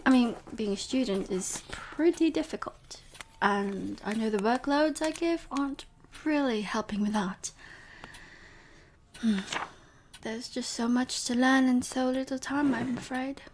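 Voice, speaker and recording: A woman's voice: on the high side, a little slow, a little mellow, slight background noise, natural voice. A woman playing a possessive, teasing vampire professor in an intimate audio roleplay, coaxing the listener in murmurs that often drop toward a whisper. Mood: playfully chiding.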